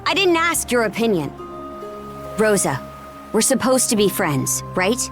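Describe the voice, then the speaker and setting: A woman's voice: mid-range voice, big pitch swings, unhurried, over music. A woman acting a bold, blunt young warrior princess in a video game cutscene. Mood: commanding, combative.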